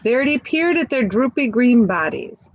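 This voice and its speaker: A woman reading a picture book aloud expressively, pausing to chat to young listeners with questions and asides. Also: a little high, very animated, lo-fi sound. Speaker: storybook reader.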